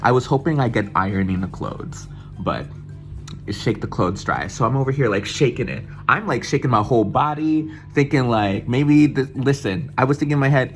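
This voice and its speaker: A young man from the United States telling an excited, swearing storytime vlog and mimicking other people's voices as he goes. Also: mid-range voice. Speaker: vlogger. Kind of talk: casual storytime chat.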